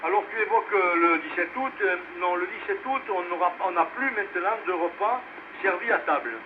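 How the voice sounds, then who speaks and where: A man hosting a casual amateur radio net, speaking in hesitant, halting phrases over a radio relay. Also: high voice. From France. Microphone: two-way radio microphone. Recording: noisy narrowband amateur radio relay transmission.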